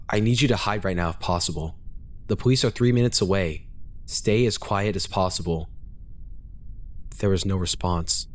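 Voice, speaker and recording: A man's voice: low-pitched, slight background noise. A man reading a first-person horror story as a dramatic scripted narration, grave and intense.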